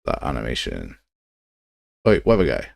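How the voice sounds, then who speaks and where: Man, low gravelly voice, casual livestream host, informal, rambling, self-deprecating. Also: wildly swooping pitch, moderate pace, no room sound, studio quality. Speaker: game development livestream host. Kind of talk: casual live tutorial, thinking aloud while working.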